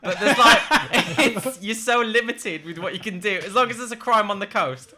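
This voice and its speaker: A man's voice: high voice, moderate pace, heavy background noise. A man bantering casually as a podcast host, opinionated, blunt and teasing. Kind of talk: casual film chat, bantering. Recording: music playing behind the talk. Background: music.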